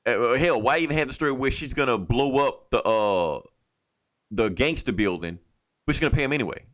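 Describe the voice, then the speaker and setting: A man's voice: medium pitch, expressive, a little fast, fairly bright tone, no room sound. A man hosting a comic book podcast, chatting casually through a review in blunt, slangy, profane language.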